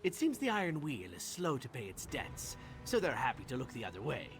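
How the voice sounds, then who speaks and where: A somber male thief from a video game, terse and deliberate yet expressive in pitch. Music plays clearly behind the voice. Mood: businesslike. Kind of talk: scripted video game dialogue.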